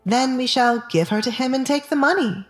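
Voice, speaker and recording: A woman playing a sharp-tongued, calculating wife who speaks insistently in an audio drama. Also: high-pitched, moderate pace, faint music behind, pitched above the performer's own voice, lightly acted. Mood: coldly resolved. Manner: scolding, insistent.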